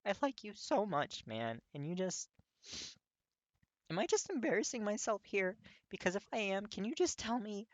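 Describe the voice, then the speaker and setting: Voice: mid-range voice, sing-song, moderate pace, no echo, pitched above the performer's own voice, close to an everyday voice. A performer voicing a desperate, earnest teenage boy who stammers and pleads with a breaking, tearful voice. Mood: tearful pleading. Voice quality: raspy.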